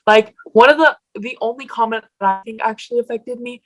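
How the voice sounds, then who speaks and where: A woman's voice: a little high, a little fast, poor audio. Teen girl, Mexican accent, chatty, upset, video call guest. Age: teens. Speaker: guest, fan page owner.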